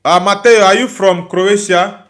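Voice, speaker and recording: A man's voice: sits high, steady tone, a little slow, a bit bright. A young Nigerian man hosting a livestream. He reads viewer comments aloud, answers each one in a friendly way, and keeps asking for likes and subscribes. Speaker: livestream host reading chat. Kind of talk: casual live chat.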